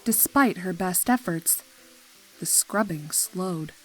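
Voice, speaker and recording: A woman's voice: lowish voice, slow pace, low-quality recording. Woman narrating a story over music.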